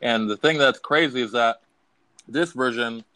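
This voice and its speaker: A man's voice: mid-range voice, measured pace, pushed and bright, a little hiss or hum. A man hosting a podcast, chatting casually and informally about movies with some swearing. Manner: informal, profane. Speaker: podcast host. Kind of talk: casual movie review chat.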